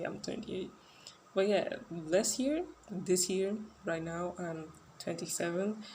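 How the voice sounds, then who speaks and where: A woman's voice: on the low side, a little mellow, slight background noise, poor audio. A woman in her twenties talking in a casual solo podcast monologue, rambling and hesitant with many fillers and restarts. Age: 20s. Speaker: podcast host.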